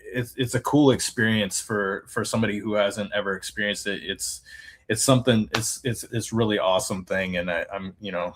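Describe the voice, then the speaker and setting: A man's voice: lowish voice, fairly even intonation, normal speed, background noise. A man in his forties hosting an interview, talking loosely and rambling with many fillers. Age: 40s. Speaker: host, Army veteran. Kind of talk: casual interview chat.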